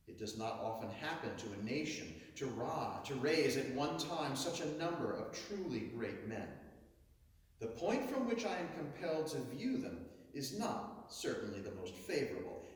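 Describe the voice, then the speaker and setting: A man giving a declamatory reading of a historic oration, with booming, dramatic swells and drawn-out stresses. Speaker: orator reading a historic speech. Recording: echoey hall, heard from far off.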